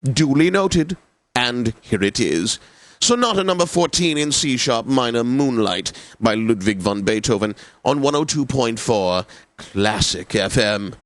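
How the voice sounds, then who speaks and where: A man's voice: medium pitch, animated, unhurried, a bit bright, dry recording. An actor playing a pompous, suave and lecherous male classical radio DJ in a comedic video game, announcing with theatrical relish. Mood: theatrical, smug. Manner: pompous, theatrical, savouring words.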